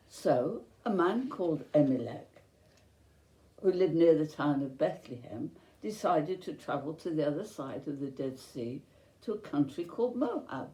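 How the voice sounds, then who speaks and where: A woman telling a children's bedtime story as host, speaking in a gentle, deliberate and expressive way for young listeners. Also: low voice. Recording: roomy, echoey sound.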